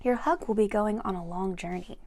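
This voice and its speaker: A woman's voice: mid-range voice, fairly quick, darkish tone. A woman reading a children's picture book aloud expressively, with chatty asides to young listeners. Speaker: read-aloud storyteller for children.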